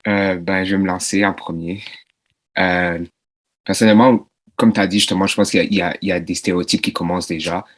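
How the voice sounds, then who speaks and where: A man's voice: sits low, fairly even intonation, brisk. A man, a podcast guest, speaking hesitantly and searching for his words in a panel discussion.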